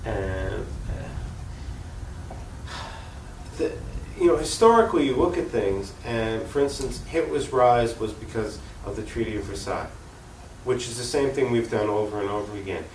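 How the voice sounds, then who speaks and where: A man's voice: on the low side, lively intonation, unhurried. An American man speaking earnestly and emphatically as an activist guest in an unscripted political interview. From United States. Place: echoey room, cable TV interview. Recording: echoey room, distant mic, faint noise.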